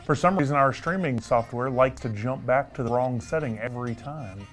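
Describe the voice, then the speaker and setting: A man's voice: medium pitch, moderate pace, soft background music. A man with a Southern US accent hosting a casual company livestream, upbeat and joking with his viewers. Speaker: livestream host from a marketing team. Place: company headquarters studio.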